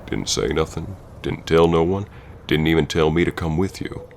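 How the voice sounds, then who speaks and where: A man playing a stern, hurt Old West bounty hunter confronting an old flame in an ASMR roleplay, brooding and halting yet tender. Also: fairly even intonation, a little hiss or hum. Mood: hurt, demanding. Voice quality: very deep, gravelly drawling voice. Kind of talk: ASMR roleplay acting, spoken to the listener.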